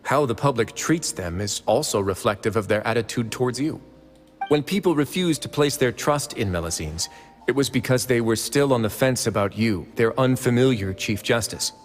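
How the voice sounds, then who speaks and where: A man voicing a confident, easygoing prison warden in a video game, speaking in a relaxed, persuasive, assured way. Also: mid-range voice, moderate pace, long reverb tail, faint music behind, lightly acted.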